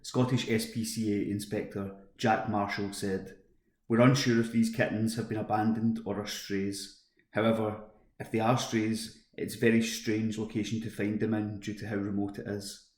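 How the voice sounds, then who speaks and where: Male volunteer news reader, flat, even intonation, scripted delivery in a roomy home recording. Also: on the low side, normal speed. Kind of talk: scripted news reading for a talking newspaper.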